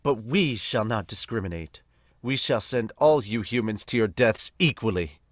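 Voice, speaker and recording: A man voicing a menacing, haughty elf invader in an amateur manga dub, cold, measured and pompous. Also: fairly low pitch, lively intonation, no room sound, clean studio sound.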